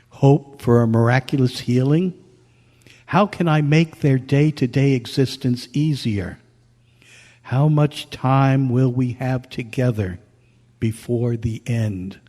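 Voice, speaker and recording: Male narrator, scripted radio drama, solemn and deliberate, warm low-brightness tone, echoey hall. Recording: echoey hall, live stage reading.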